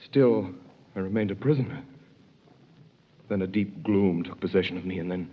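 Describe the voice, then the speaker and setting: A man narrating an old radio drama in the first person, grave and measured. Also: low voice. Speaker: narrator playing the hero. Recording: dull old radio recording with faint hiss.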